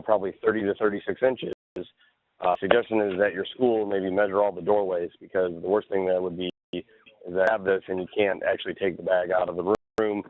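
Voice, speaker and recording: Low-voiced man on a narrow phone line. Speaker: team mentor presenting advice. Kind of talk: casual step-by-step explanation. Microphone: phone call.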